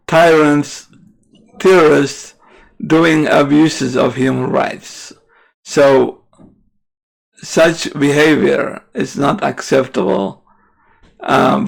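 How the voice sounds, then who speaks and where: A man from the Middle East, a native Arabic speaker, giving unscripted political commentary as a podcast host in a halting way, often correcting himself. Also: mid-range voice, creaky, slightly rough, rough recording. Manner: halting, searching for words with frequent self-corrections.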